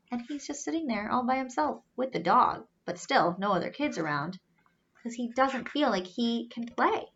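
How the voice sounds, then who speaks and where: A woman's voice: a little high, dull audio. A woman reading a children's story aloud expressively, adding simple questions and asides for young listeners. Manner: expressive, questioning, with gasps and drawn-out emphasis.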